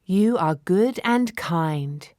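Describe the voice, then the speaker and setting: A wise old woman of the storybook forest, acted out by a woman narrator. She speaks softly and kindly, taking her time. The recording is close and dry. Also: on the low side, expressive, no room sound. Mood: gentle. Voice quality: soft. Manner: kind, gentle, unhurried.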